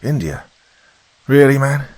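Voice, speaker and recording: A man's voice: mid-range voice, sing-song, a little slow, darkish tone, voice pushed up. A man voicing a young, eager, cheeky lance corporal in a military story, playful and exaggerated. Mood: mock outrage. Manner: playful, hesitant fillers, exaggerated.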